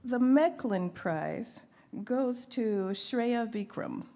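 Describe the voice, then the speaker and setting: A woman's voice: on the high side, animated, drawn-out words, dark tone. A woman presenting awards at a live ceremony in an informal, chatty way, with asides to herself as she goes. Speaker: poet. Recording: faint background noise, live event microphone.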